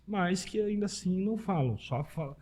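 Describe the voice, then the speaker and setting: Podcast guest: Brazilian man, husky and mellow, informal conversational explainer. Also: high-pitched, animated, moderate pace. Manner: informal, anecdotal, peppered with tag questions. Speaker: podcast guest, Italian citizenship specialist. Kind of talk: casual conversational interview.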